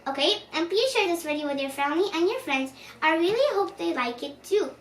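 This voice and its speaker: A young girl reading a children's storybook aloud in an animated, expressive way, now and then stumbling over words. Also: very high-pitched, a little fast. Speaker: storyteller, channel host. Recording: roomy, distant microphone, faint background noise.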